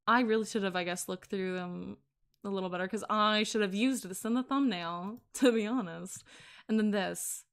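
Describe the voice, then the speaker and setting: Slow-talking gushing woman streamer. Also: mid-range voice. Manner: excitable, gushing, giggly. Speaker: VTuber streamer. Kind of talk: gameplay commentary and reading a visual novel aloud.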